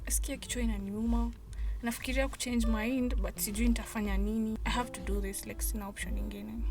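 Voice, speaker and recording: A woman's voice: a little high, fairly even intonation, fast talker, recorded from a distance, over music, close to an everyday voice. A woman acting an anxious, guilt-ridden young woman in an audio drama, with a Kenyan accent, mixing English and Swahili in distress. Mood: anxious, wavering.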